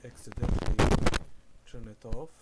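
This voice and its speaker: Slow, polite man playing dumb on a call. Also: medium pitch, very noisy. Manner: slow, deliberate, overly polite, feigned confusion. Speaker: scambaiter posing as a caller. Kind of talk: prank phone call.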